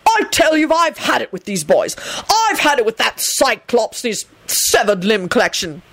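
A woman's voice: a little high, sing-song, normal speed, fairly bright tone, voice pushed up, exaggerated voice. A woman voicing a hot-tempered, exasperated man, aggrieved and howling, in a story reading. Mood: furious, aggrieved.

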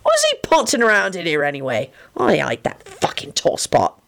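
A brash young wizard , as a woman puts him on, with a coarse, sneering way of talking. His voice leaps up and down in pitch. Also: wildly swooping pitch, a little fast, creaky, a bit bright, full character voice. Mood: angry. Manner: coarse and sneering, lots of swearing. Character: brash young male wizard, rude and mocking.

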